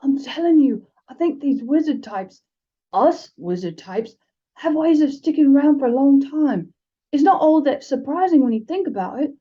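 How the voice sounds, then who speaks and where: A woman's voice: high voice, lively intonation, normal speed, warm tone, lo-fi sound, pitched above the performer's own voice. A woman voicing a chatty young wizard schoolboy in a storybook reading, offhand and rambling.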